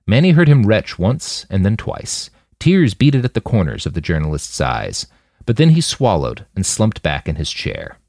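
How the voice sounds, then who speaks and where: A man narrates scripted fiction in a lowish voice. His pitch moves around a great deal, and the sound is dry and close, as in an audiobook. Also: a little mellow, no room sound. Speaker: author and narrator of a fiction podcast.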